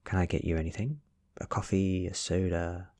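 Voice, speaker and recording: A man's voice: low voice, steady tone, normal speed, a little mellow, natural voice. A British man playing a tired, nervous private detective in a story reading, businesslike and questioning. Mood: nervously friendly.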